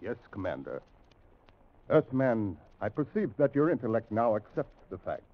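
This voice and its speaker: Stern alien captain, tinny old radio. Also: male voice, lowish voice, big pitch swings. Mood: formal, commanding. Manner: formal, measured, imperious declarations. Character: stern alien spaceship captain of a galactic guard. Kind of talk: 1950s radio science fiction drama acting.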